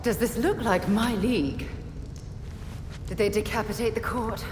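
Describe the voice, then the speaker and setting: A woman's voice: on the high side, a little slow, close to an everyday voice. A woman acting a composed, ominous assassin leader in a video game cutscene. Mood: cool, ominous. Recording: echoey space with clear noise.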